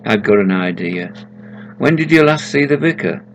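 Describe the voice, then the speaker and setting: A man's voice: lowish voice, animated, normal speed, a little hiss or hum, close to an everyday voice. A man voicing a kindly, practical woman who escorts souls to heaven in a story. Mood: kind, brisk.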